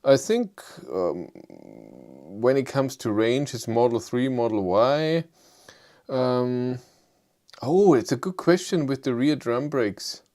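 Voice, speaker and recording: A man's voice: slow, a little mellow. Hesitant man with a German accent. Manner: hesitant, low-key, many fillers, self-deprecating. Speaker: livestream host. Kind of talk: casual live chat answering viewers.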